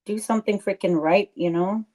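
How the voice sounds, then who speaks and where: A woman talks in a casual live stream discussion. Her voice sits at an ordinary middle pitch, and she speaks informally and bluntly, with an indignant edge. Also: moderate pace, darkish tone, low-quality recording.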